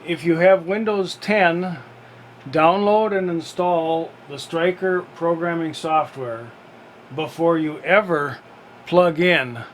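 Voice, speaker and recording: Guy doing a casual unboxing walkthrough, slow and halting, bit higher voice, faint hum like an ac running. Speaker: unboxing video presenter. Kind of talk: casual how-to narration.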